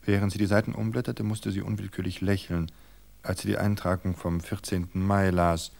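Calm guy reading a novel aloud, kinda low voice, steady pace. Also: vocal fry. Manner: calm, measured storytelling. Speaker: narrator. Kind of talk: scripted narration of a novel.

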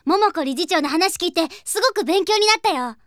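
A woman's voice: very high voice, lively intonation, a little fast, dry recording, studio quality. A woman playing a cheerful, innocent, friendly young girl in an anime audio drama.

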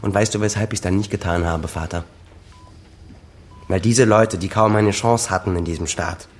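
A man's voice: fairly low pitch, a little mellow, natural voice. An actor playing a bitter, sarcastic middle-aged son who accuses his father in a naturalistic radio drama.

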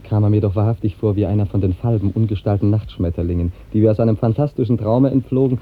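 An actor playing an outspoken, mocking singer in a 1950s German radio drama, declaiming vividly and scornfully. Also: male voice, fairly low pitch, steady tone, fast talker, close to an everyday voice. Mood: scornful relief. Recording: muffled, narrow-band 1950s radio recording.

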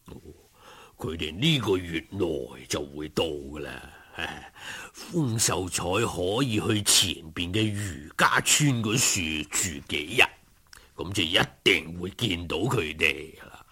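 Deep, husky, genial old gentleman. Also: lively intonation, full character voice. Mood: genial, courteous. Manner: unhurried, old-fashioned courteous speech. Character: genial old gentleman, courteous, chuckling, insistent matchmaker. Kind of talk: storybook character acting.

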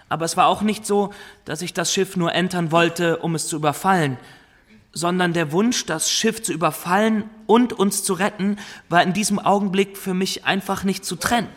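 An actor playing an earnest Somali pirate in a radio drama, giving a formal, careful account that rises into anguish. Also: male voice, on the high side, a bit bright.

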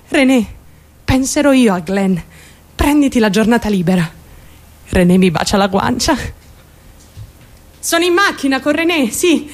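A woman's voice: fairly high pitch, natural voice. An actress playing an obsessive, unstable young woman in a theatrical radio monologue, confiding and blunt, shifting abruptly and seeking agreement.

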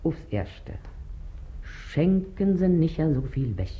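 An old, muffled radio-play recording carries a middle-aged washerwoman with a low, animated voice. She is folksy and crafty, talking confidentially and laying on the flattery with emphasis. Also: takes it slowly, exaggerated voice. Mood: confidential, cautioning. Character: shrewd, talkative middle-aged washerwoman and mother. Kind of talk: 1950s German radio play acting. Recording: dull, band-limited 1950s radio recording.

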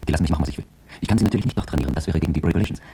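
A man's voice: rumbling low, very fast, dark tone, slightly rough, no room sound, heavily acted. An actor playing a coolly polite, determined Chinese army horseman, giving precise, courteous instruction in a radio drama with background noise. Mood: matter-of-fact.

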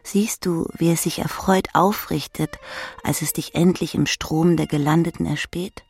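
Casting: literary narrator, husky with vocal fry, intimate second-person delivery. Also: medium pitch, steady tone, normal speed, a little mellow.

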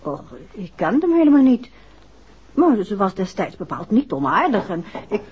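An actress playing a curious, chatty middle-aged wife in a 1960s radio play, speaking in an inquisitive, conversational way. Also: female voice, medium pitch, sing-song, moderate pace, slight background noise, close to an everyday voice. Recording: muffled old 1960s radio recording.

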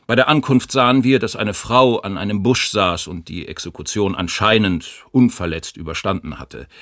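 An actor playing a wartime perpetrator who gives formal, factual eyewitness testimony in a documentary radio drama. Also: male voice, fairly low pitch, a bit bright, no echo, studio quality.

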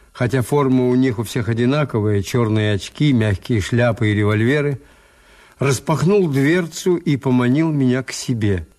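Актёр играет усталого ироничного хозяина гостиницы, который сдержанно и сухо ведёт рассказ в советской радиопостановке. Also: male voice, medium pitch, a little mellow, close to an everyday voice. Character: weary, ironic male hotel owner recounting events. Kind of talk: Soviet radio drama narration and dialogue.

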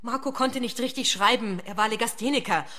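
A woman's voice: fairly high pitch, fairly quick, pushed and bright. An actress playing a defensive, upset woman accused of a crime in a radio play, speaking insistently.

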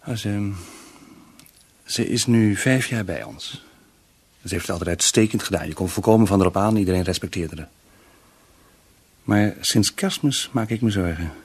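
A man's voice: sits low, moderate pace, long pauses, husky. A male actor in a radio play playing a worried, tactful employer who speaks hesitantly and apologetically. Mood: concerned, tactful.

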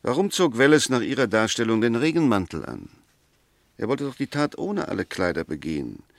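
A man's voice: mid-range voice, very animated, fairly quick, vocal fry, dry recording. An actor in a German radio play, playing an insistent, methodical man who argues a murder case with precise, rhetorical questioning. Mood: insistent, probing.

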